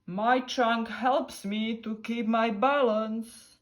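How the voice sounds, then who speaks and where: Woman as storybook boy elephant, clever, calm, measured, reassuring, slow delivery. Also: fairly high pitch, pitched above the performer's own voice. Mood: earnest, confident. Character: clever, calm, upbeat male patchwork elephant. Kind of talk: storybook character reading.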